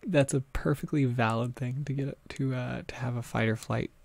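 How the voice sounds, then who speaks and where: A man with a gravelly, husky voice hosts a calm livestream, reading viewers' messages aloud. He talks softly, often dropping to a half-whisper, with many small pauses and fillers. His nose is a little stuffy, and he sits close to the microphone. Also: mid-range voice, no room sound. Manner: soft, halting, with frequent fillers and half-whispered stretches. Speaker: ASMR audio creator hosting a livestream. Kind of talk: soft-spoken casual livestream chat, reading chat.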